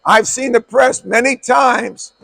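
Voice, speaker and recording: A middle-aged man with a hoarse voice, a basketball coach giving a clinic talk in a blunt, emphatic way that repeats key words. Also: very high voice, slow, a bit bright.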